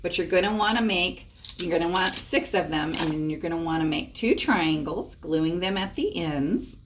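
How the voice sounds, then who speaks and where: A woman's voice: fairly low pitch, animated, moderate pace, background noise. A woman presenting a library storytime, reading and explaining crafts expressively for young children. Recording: echoey room, distant microphone.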